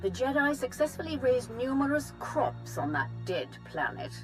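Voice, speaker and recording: An actress playing a calculating, assured Sith empress in a video game, with imperious, formal and measured phrasing. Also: female voice, high-pitched, very animated, soft background music. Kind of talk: dramatic game voice acting.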